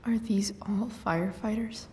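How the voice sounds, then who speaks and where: A woman playing a wry, sweary, guarded but caring teenage girl in a video game, muttering sardonically in breathy asides. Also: mid-range voice, steady tone, normal speed, a little mellow, a little hiss or hum, natural voice. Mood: worried. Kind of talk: naturalistic video game voice acting.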